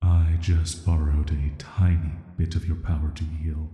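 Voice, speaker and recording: A man playing an ancient cursed villain in a sleep-aid audio roleplay, speaking in a soft, flat, soothing and intimate way, flirty yet dangerous. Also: very deep voice, measured pace. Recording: echoey, long reverb.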